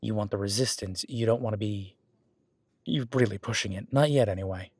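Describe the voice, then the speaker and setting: A man's voice: lowish voice, brisk, darkish tone, husky, no room sound. A man playing a friendly, patient werewolf boyfriend who coaches a workout in a casual, instructive audio roleplay. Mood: easygoing. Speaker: gym training coach in roleplay.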